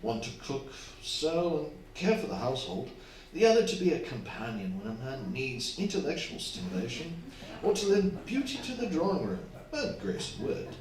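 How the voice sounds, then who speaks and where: A man acts out a wealthy, possessive husband in his fifties with formal, self-satisfied manners, in an old-fashioned radio play. His voice runs a little high and rises and falls freely. It is heard from a distance in a very echoey hall. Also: huge pitch swings, normal speed, a little mellow. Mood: pompous, self-satisfied. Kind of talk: staged old-fashioned radio drama acting. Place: theatre hall, distant microphone. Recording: echoey stage recording from afar, faint noise.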